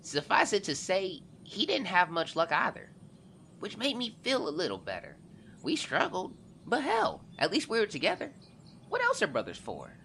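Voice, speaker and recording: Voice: medium pitch, wildly swooping pitch, normal speed, creaky, pushed and bright, a little hiss or hum, heavily acted. An actor voicing a young boy who earnestly narrates events into his journal in a scripted audio drama. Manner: earnest, confiding, storytelling.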